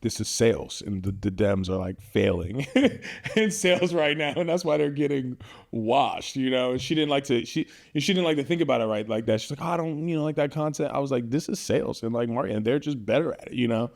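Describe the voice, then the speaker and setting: A man's voice: mid-range voice, brisk, gravelly, darkish tone. A man from Northern Virginia hosting a casual podcast, talking loosely with lots of fillers. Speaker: podcast host, realtor.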